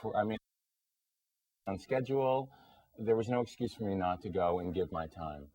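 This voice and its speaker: A man's voice: fairly low pitch, fairly even intonation, moderate pace, mellow, no echo. A man speaking earnestly and conversationally as a guest answering interview questions.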